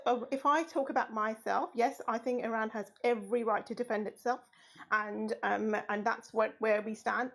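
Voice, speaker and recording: A British woman calling into a live audio space, speaking hesitantly with many pauses. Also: a little high. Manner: hesitant, many ums, gets cut off.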